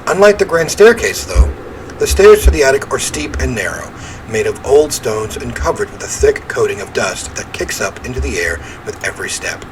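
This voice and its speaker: Expressive man reading horror, ambient noise behind. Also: mid-range voice, normal speed. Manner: expressive, theatrical delivery. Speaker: game player reading aloud. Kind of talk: dramatic read-aloud of first-person horror story.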